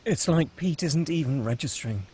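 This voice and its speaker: A man's voice: on the high side, lively intonation, normal speed, darkish tone, a little hiss or hum. A man reading stage directions as the narrator of a screenplay, in a dramatic scripted style.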